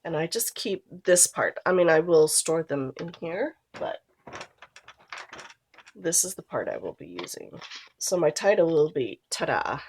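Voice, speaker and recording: A woman's voice: deep voice, measured pace, background noise. A woman in her thirties with a cold that makes her sound nasal, chatting casually through a craft tutorial and trailing off as she works. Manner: relaxed, chatty, trails off while working. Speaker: scrapbooking vlogger.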